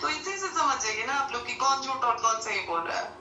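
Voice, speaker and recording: A woman's voice: high voice, lively intonation, a little fast, sharp tone. A woman arguing her case on a recorded call, insistent and aggrieved, pressing rhetorical questions. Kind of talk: phone call, pleading argument. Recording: echoey, distant phone-call recording with faint noise.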